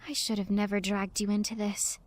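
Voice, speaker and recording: A woman playing a gentle, guilt-ridden but resolute young survivor in an audio drama, soft and restrained. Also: medium pitch, level tone, a little fast, lightly acted. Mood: guilty, subdued. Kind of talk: amateur audio drama acting.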